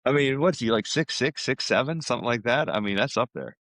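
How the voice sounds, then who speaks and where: A man's voice: mid-range voice, brisk, fairly bright tone, no echo. A middle-aged American man in casual podcast chat, talking in an informal, buddy-like way. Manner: informal, buddy-like, peppers talk with "man" and "dude".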